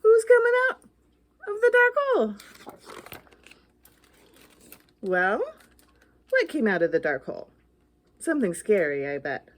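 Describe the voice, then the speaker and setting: A woman acts out a little storybook ghost who bluffs about being brave but scares easily. The voice sits high and swings widely, with theatrical exclamations and anxious questions. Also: full character voice. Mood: skeptical, nervous. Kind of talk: children's picture book read-aloud.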